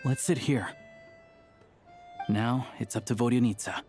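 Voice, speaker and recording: A man voicing a calm, easygoing young companion in a video game, relaxed and measured. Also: medium pitch, soft background music, lightly acted. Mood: calm, discreet.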